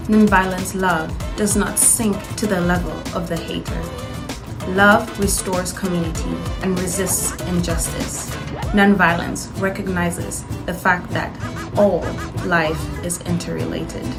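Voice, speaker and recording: A woman in her twenties, a university student, reading a text aloud in a steady, measured way. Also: on the low side, creaky. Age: 20s. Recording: music playing behind.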